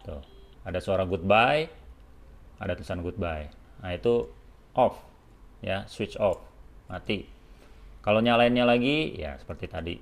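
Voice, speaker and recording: Low-voiced man giving a casual tutorial. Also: unhurried, gravelly, pauses often. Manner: informal, step-by-step, peppered with short check-in tags. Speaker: product tutorial presenter for a helmet shop. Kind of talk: casual hands-on product demonstration.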